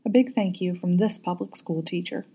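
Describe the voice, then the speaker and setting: Mellow, husky woman reading a story aloud. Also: fairly low pitch, moderate pace. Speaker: guest reader, public school teacher. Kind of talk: read-aloud storybook narration.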